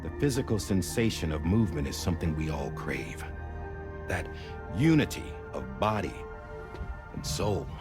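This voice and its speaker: A man playing a solemn, idealistic leader, speaking in a deliberate, oratorical way in a cinematic game. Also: sits low, big pitch swings. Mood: solemn, hopeful. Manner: deliberate, oratorical, with weighty pauses. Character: solemn, idealistic male leader, measured and sincere. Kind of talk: dramatic cinematic game dialogue, speechmaking. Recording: music behind the voice.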